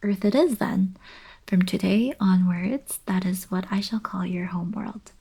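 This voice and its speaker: Breathy, slow-talking playful young princess, acted by a woman. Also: mid-range voice. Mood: soft, apologetic. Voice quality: soft, breathy, whispery. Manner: casual, coaxing, girlish teasing. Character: playful, pleading young alien princess, friendly and eager. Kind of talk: ASMR roleplay, intimate acted monologue.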